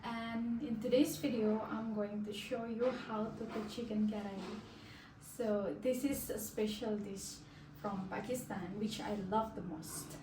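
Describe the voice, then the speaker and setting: A woman's voice: mid-range voice, fairly even intonation, a little slow. A young woman from Indonesia explaining a home cooking recipe step by step in a casual, friendly way. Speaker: cooking vlogger. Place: home kitchen, stove frying. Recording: distant mic, audible sizzling kitchen noise.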